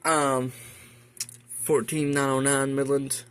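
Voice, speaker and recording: A man's voice: medium pitch, flat delivery, takes it slowly, smooth. An American man giving a casual show-and-tell vlog in a folksy, rambling way, muttering asides to himself as he goes. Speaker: hobbyist video host. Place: indoors in a room at home.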